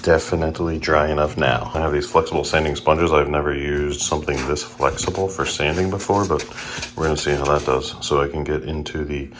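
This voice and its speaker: Very deep-voiced man explaining DIY work. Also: a little fast. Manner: relaxed, plain step-by-step explaining. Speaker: DIY vlogger. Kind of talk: casual how-to narration while working. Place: small indoor room at home. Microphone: handheld phone camera. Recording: sanding and scraping noise behind.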